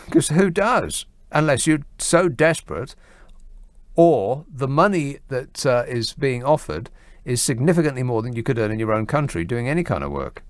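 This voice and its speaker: A man's voice: mid-range voice, expressive, normal speed, no echo. A man hosting a late-night radio phone-in, musing wryly and often restarting his thoughts, at a studio microphone. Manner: wry, musing, trails off and restarts.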